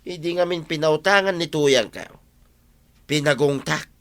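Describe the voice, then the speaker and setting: Folksy barangay husband played by a man, Ilocano accent, comedy drama, forward bright tone, slightly high pitch. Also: a little slow. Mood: confiding.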